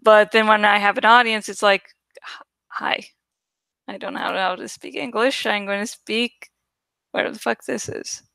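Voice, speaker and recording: A woman casually rambling as she edits artwork for her channel, thinking out loud, self-deprecating and swearing freely. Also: mid-range voice, steady tone, normal speed, creaky, pauses often, dry recording. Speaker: video creator and host. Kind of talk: casual rambling commentary while editing artwork.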